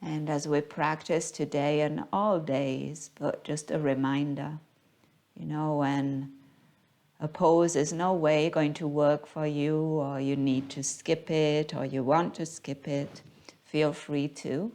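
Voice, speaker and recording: A woman guiding a yoga class as the teacher, speaking in a gentle, unhurried, soothing manner. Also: low-pitched, fairly even intonation. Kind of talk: guided yoga class instruction.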